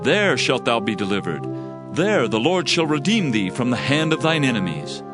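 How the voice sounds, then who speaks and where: An actor playing a fervent, solemn prophet in a dramatized scripture reading, declaiming judgment and hope. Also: male voice, medium pitch, sing-song, normal speed, close to an everyday voice. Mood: solemn, exalted. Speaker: Bible narrator. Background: music.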